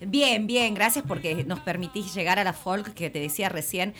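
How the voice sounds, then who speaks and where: A woman's voice: mid-range voice, normal speed, fairly bright tone. Enthusiastic Argentine woman promoting an event. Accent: Argentine Spanish. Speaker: municipal press director. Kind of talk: radio interview guest.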